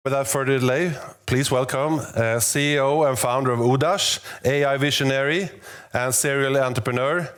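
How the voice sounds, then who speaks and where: A man's voice: mid-range voice, fairly even intonation, normal speed, fairly bright tone, clean tone. A man opening an event as its moderator, welcoming and upbeat. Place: office event space. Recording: faint background music.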